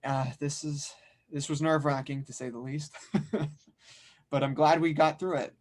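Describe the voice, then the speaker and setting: A man's voice: a little high, fairly even intonation, a little slow, a little mellow. A man who narrates and directs an amateur audio drama table read.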